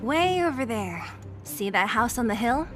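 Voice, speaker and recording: A woman's voice: a little high, big pitch swings, normal speed, music in the background. An actress voicing a brash, headstrong young woman fighter in a video game, talking with cocky impatience. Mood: determined. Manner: cocky, dismissive of rules.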